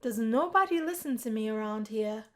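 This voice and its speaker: A woman's voice: a little high, animated, moderate pace, close to an everyday voice. Woman as grumpy young male dorm adviser, visual novel; stern, exasperated, scolding.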